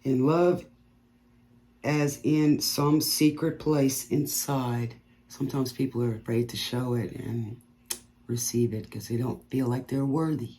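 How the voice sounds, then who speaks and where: A woman's voice: a bass voice, fairly even intonation, a little slow, dark tone. A woman tarot reader chatting casually to her viewers before a reading, rambling and stuttering at times and laughing at her own puns.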